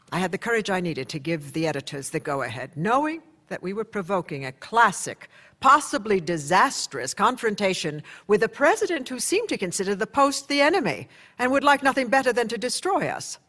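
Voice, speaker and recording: An actress playing a poised, resolute middle-aged newspaper publisher who narrates candidly in a radio drama. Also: female voice, on the low side, a bit bright.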